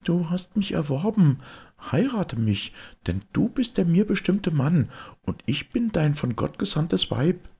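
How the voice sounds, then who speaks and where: A man's voice: fairly high pitch, very animated, a little slow, husky, voice pushed up, exaggerated voice. A man voicing a beautiful young enchanted wife, caring and earnestly giving instructions, in a storybook reading. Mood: solemn.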